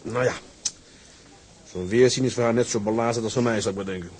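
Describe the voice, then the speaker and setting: An actor playing a reserved, modest ship's officer in a radio drama, hesitant and polite. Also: male voice, fairly low pitch, fast talker, darkish tone, slightly rough. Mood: brooding. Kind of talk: Dutch radio drama acting.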